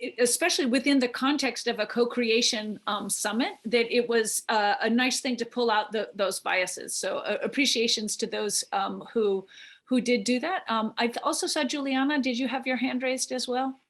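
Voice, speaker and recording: A woman's voice: on the high side, fairly even intonation, moderate pace. A woman facilitating an online workshop discussion from a room on a video call. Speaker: workshop leader.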